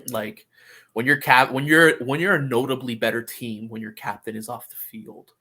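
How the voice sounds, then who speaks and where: A man discussing soccer casually as a podcast pundit, halting and often repeating words as he qualifies his points. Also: medium pitch, steady tone, bright, forceful tone.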